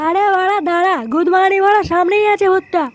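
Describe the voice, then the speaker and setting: Guy doing a bossy grumpy cartoon dude, chipmunk-high and quick, swears a lot. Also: flat delivery, faint music behind. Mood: brash, impatient. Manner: gruff cursing, blustering. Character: irritable, foul-mouthed male card player, bossy and brash. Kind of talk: comedy cartoon dialogue.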